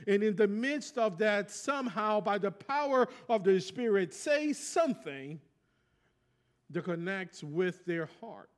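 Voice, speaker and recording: Pastor: man, Brazilian accent, native Portuguese, fairly high voice, conversational preaching with personal stories. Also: lively intonation, a little slow. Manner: reflective storytelling, conversational. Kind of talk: sermon.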